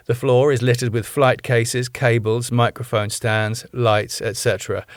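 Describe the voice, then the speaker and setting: A British man reading the stage directions of his own sitcom script in a plain, measured way. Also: mid-range voice, dry recording, clean studio sound.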